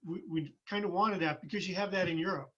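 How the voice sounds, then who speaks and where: A man in his fifties, a military retiree, talking reflectively as a podcast guest with some halting restarts. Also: sits high, fairly even intonation, a bit bright, highs cut off, slight background noise. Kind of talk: casual conversation.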